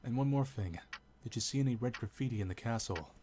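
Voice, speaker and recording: An actor playing a businesslike investigator in a game, with a casual, probing, plainspoken manner. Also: male voice, medium pitch, quick pace, lightly acted. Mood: inquisitive, businesslike. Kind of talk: game drama dialogue. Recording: clear ticking background noise.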